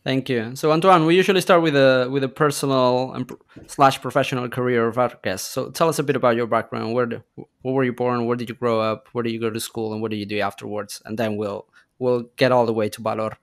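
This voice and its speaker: A man co-hosts a podcast in a casual, friendly way. He rambles and restarts his sentences and laughs easily between thoughts. His voice sits at an ordinary male middle pitch. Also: fairly even intonation, quick pace. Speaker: podcast co-host.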